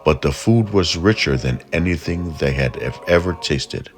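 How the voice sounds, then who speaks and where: A man narrating a folk tale in a measured, deliberate storytelling manner. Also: a bass voice, expressive.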